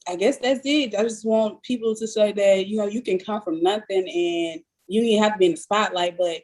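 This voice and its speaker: A woman's voice: mid-range voice, darkish tone. Woman in her twenties, sincere and determined. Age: 20s. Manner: sincere, hesitant, then quick and determined. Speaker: podcast co-host. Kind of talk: casual podcast chat.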